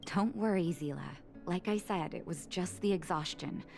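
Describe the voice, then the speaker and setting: A woman's voice: lowish voice, moderate pace, gravelly, darkish tone, faint music behind. An actress playing a composed, reassuring female doctor in a video game, speaking soothingly. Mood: calm, reassuring. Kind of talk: video game character acting.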